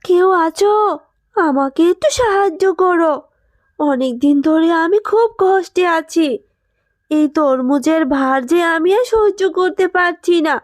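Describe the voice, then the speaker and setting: Magical talking plant, cartoon fairy tale, played by a woman: high, plaintive, kindly, burdened; dry studio sound. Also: measured pace, no room sound. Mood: pleading. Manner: plaintive, pleading. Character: weary, pleading magical watermelon plant, burdened but kindly. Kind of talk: Bengali children's cartoon dubbing.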